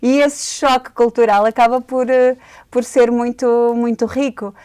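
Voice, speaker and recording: European Portuguese woman, fairly high voice, reflective radio co-host. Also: steady tone, measured pace, a little mellow, clean tone. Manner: thoughtful, hesitant, with fillers. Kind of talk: casual conversation about poetry.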